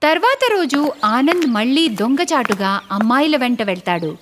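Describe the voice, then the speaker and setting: A woman's voice: high voice, big pitch swings, moderate pace, slight background noise. A woman narrating a scripted story in a dramatic storytelling style.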